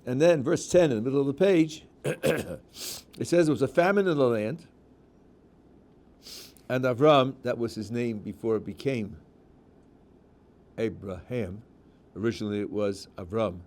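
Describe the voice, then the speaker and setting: New rabbi explaining scripture. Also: male voice, mid-range voice, a little slow, long pauses, darkish tone. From New England. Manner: reads verses aloud, then explains them plainly. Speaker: rabbi teaching a student. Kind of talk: one-on-one text study.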